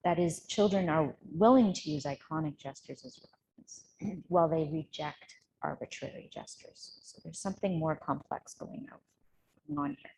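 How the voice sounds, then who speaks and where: A woman speaks in a low register with a noticeable creak, presenting to an academic workshop. She explains her points with informal asides. There is some background noise in the live room. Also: animated, normal speed, mellow, low-quality recording. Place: live workshop room, relayed to video call.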